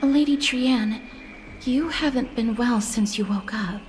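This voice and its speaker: A woman's voice: a little high, slow pace, soft background music. A woman playing a dutiful, tactful young fighter in a video game, speaking formally and earnestly. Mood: concerned. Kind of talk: dramatic video game acting.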